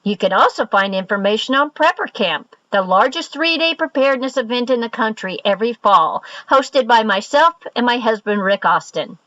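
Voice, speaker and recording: A woman reads a scripted personal promo as an author. Her highish voice is bright and animated, recorded dry and close. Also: moderate pace, hardly any pauses, dry recording, dull audio.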